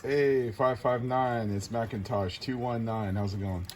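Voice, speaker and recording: A man's voice: medium pitch, steady tone, measured pace. A man who operates a CB radio, chatting casually. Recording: very loud CB radio noise.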